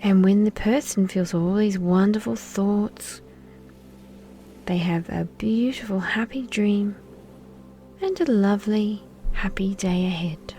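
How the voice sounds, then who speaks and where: A woman speaking in a soft, breathy half-whisper, soothing and gentle, guiding children through a sleep meditation and bedtime story with lulling pauses. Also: medium pitch. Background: soft background music.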